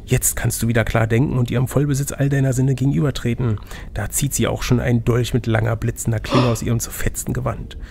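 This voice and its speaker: A man's voice: mid-range voice, level tone, fast talker, vocal fry, a little mellow, slight background noise. A man narrating an adventure gamebook as game master, switching between scripted reading and casual chat.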